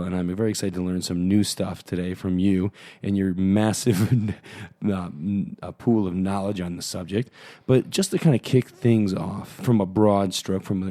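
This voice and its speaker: Casual low-voiced man hosting a podcast. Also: warm tone. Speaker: podcast host. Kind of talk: casual chat, interviewing.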